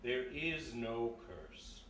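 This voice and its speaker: A man's voice: mid-range voice, animated, drawn-out words, fairly bright tone. An actor playing a stern, wealthy older father with a firm, blustering manner in a live radio mystery drama. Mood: indignant. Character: stern older wealthy father, dismissive treasure-seeking excavator. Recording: very echoey hall, distant mic, faint noise.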